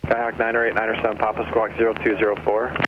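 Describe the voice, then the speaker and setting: Lowish male voice on noisy aviation radio. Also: completely flat delivery, fast talker. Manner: clipped, procedural. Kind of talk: aviation radio traffic calls. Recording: dull, noisy aircraft radio transmission.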